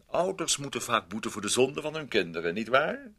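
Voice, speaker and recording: An actor in a radio play voicing an ingratiating, meddling gentleman neighbour who wheedles and repeats himself with fussy politeness. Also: mid-range voice, big pitch swings, a little fast, breathy edge, exaggerated voice. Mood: smooth, insinuating.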